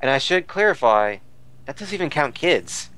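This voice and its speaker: A man's voice: a little high, sing-song, moderate pace, fairly bright tone. A man talking casually as a podcast commentator about fan news, rambling and repeating phrases as he searches for words.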